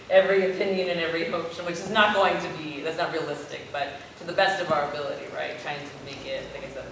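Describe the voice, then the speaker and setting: A man from a theater project answering audience questions in an informal talk. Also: on the high side. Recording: echoey hall, distant mic, noisy.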